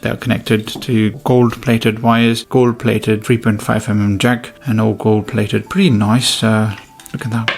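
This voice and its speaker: A man's voice: fairly low pitch, flat delivery, a little slow, vocal fry, few pauses, darkish tone. A man doing a casual, hands-on product review, thinking aloud informally as he demonstrates. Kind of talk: casual unboxing and review commentary.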